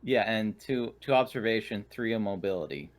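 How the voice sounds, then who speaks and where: Low-pitched man playing a tabletop game. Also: animated, normal speed. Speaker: player in a tabletop roleplaying game. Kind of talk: casual game chat.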